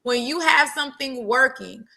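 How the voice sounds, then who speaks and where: A woman's voice: sits high, moderate pace, vocal fry, sharp tone. A woman co-hosting a sports talk show, making her points with emphatic repetition in casual chat. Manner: emphatic, repeats points for stress.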